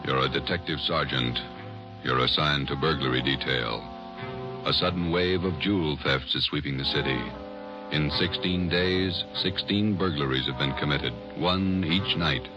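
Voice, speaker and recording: A grave, extremely deep radio announcer. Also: male voice. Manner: grave, measured, emphatic. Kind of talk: 1950s radio drama opening announcement. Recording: dull old radio recording with music behind.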